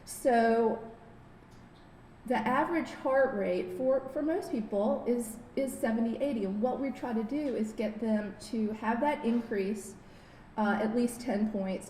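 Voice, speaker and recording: A woman's voice: unhurried, warm tone. A middle-aged woman who works as a biofeedback therapist, giving a friendly, informal wellness talk with slides at a podium microphone in a conference room, with many fillers and restarts. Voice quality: says she has a very low voice. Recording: roomy hall, faint noise.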